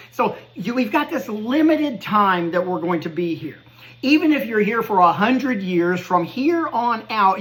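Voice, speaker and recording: A man's voice: extremely high pitch, bright, forceful tone, low-quality recording. A man in his fifties hosting a podcast, giving an earnest, conversational motivational talk and laughing at himself now and then. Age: 50s. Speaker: podcast host. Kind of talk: motivational monologue.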